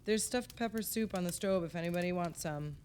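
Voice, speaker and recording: An actress playing a welcoming older wife hosting a holiday gathering in a naturalistic audio play. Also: female voice, lowish voice, a little fast, darkish tone, a little hiss or hum, close to an everyday voice.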